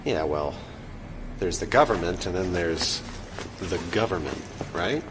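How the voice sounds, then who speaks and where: An actor playing a wry, grumbling young military medic who banters informally, in an audio drama. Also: male voice, low-pitched, expressive, a little slow, big-room sound, heavy background noise. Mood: wry, griping.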